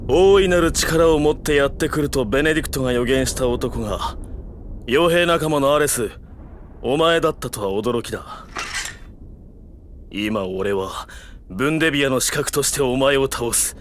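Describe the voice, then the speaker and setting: A man's voice: medium pitch, normal speed, slight background noise, close to an everyday voice. An actor playing a proud, battle-hungry mercenary swordsman in an audio drama, speaking in an intense, declamatory way. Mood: grim resolve. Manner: intense, declamatory, with combat shouts. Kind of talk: theatrical Japanese audio-drama acting.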